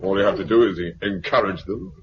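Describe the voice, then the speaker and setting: A man playing a smug, authoritarian commander in a 1950s radio drama, brisk, officious and condescending. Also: low voice, natural voice. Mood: officious, cold. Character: smug authoritarian military commander of a post-apocalyptic regime. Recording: dull, noisy 1950s radio recording.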